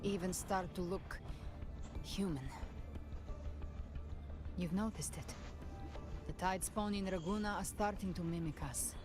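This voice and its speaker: A woman playing a cool, confident avenger who hunts monsters in a video game, speaking in a measured, dramatic way. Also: lowish voice, close to an everyday voice. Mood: intent, grave. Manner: measured, dramatic, self-assured. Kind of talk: scripted video game voice acting. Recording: game audio with loud music behind. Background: loud game music.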